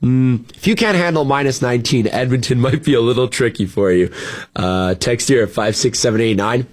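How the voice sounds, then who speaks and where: A man's voice: mid-range voice, brisk. A man chatting casually as a radio host, conversational and full of informal asides. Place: radio studio. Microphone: close broadcast studio mic.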